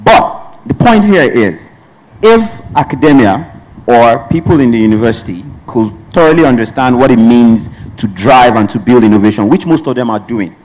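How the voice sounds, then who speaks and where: A man's voice: medium pitch, lively intonation, moderate pace, a little mellow, rough recording. A man from Nigeria speaking on a conference panel in a mid-range voice. He talks earnestly and at length, circling back and restarting his sentences as he works through his point. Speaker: panelist, hub representative.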